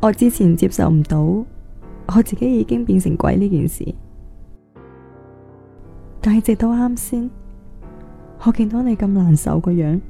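A woman's voice: medium pitch, gravelly, mellow. A woman playing a tender, tearful young ghost bride in radio drama. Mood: sorrowful. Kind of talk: Cantonese radio drama acting. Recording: music under the voice.

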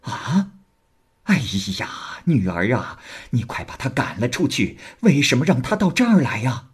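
Guy doing a bossy old madam, exclaiming and scolding, husky. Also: mid-range voice, sing-song, measured pace, voice pushed up, exaggerated voice. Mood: alarmed. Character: scheming old madam, alarmed and harsh.

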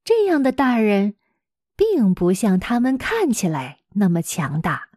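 A woman with an expressive, dramatic storytelling manner, speaking as a teacher to young listeners. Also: medium pitch, a little slow, no echo, studio quality. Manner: dramatic, animated delivery with rhetorical questions addressed to young listeners. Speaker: teacher hosting a children's story podcast.

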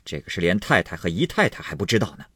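A man's voice: sits low, very animated, fast talker, sharp tone, breathy edge, no room sound, studio quality, full character voice. A man playing a hot-tempered, sneering husband who blusters, snorts and grumbles sarcastically in a storybook reading. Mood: bitter grumbling.

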